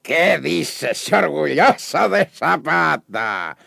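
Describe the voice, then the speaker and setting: An actor playing a haughty Mexican landowner, sneering and mocking, in a radio drama. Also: male voice, a little high, sing-song, slow, gravelly, full character voice. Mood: contemptuous, mocking. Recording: loud flute music behind the voice.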